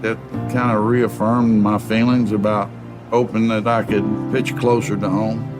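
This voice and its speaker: A man's voice: lowish voice, flat delivery, measured pace, music in the background, rough recording. A man from Texas speaking reflectively in a documentary-style interview. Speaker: baseball pitcher.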